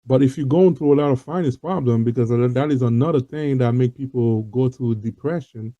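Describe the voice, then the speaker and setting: A man's voice: mid-range voice, fairly quick, mellow, no echo. A man from Haiti with a Haitian accent, talking earnestly to his viewers in an informal monologue, full of fillers and repetitions. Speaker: host of an online talk show. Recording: dull lo-fi dry recording.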